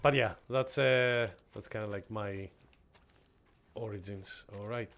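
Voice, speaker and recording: A man's voice: on the low side, measured pace, gravelly, a bit bright. A young man from Greece streaming a horror game, chatting casually with viewers and reacting as he plays. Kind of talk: casual gameplay commentary.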